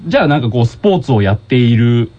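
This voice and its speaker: A man hosting a casual radio talk, bantering in an animated, teasing way and quick to react to his guests. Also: medium pitch, highs cut off. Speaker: radio show host.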